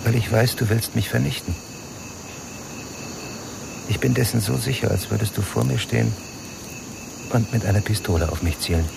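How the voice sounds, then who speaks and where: An actor playing a guarded, bitter lover in a German radio crime drama, speaking bluntly and wearily. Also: male voice, on the low side, moderate pace, gravelly, pauses often, background noise. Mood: weary, bitter. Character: Mark, guarded, bitter married lover, coolly accusing.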